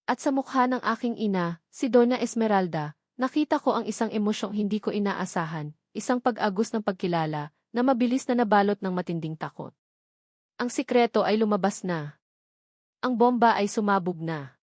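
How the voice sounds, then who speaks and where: A woman's voice: medium pitch, expressive, moderate pace, darkish tone, no room sound, studio quality. A woman reading a dramatic romance story as a scripted narration.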